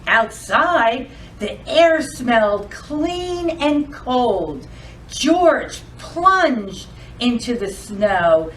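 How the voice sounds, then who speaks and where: A woman hosting a children's storytime, reading aloud and addressing young kids in an expressive, sing-song, deliberate way. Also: fairly high pitch, far from the mic, slight background noise, poor audio. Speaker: library storytime host.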